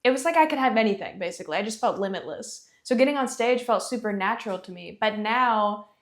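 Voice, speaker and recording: A woman's voice: mid-range voice, expressive, quick pace. A young woman from Georgia, a singer-songwriter chatting casually as an interview guest, laughing often and filling with "you know". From Athens, Georgia.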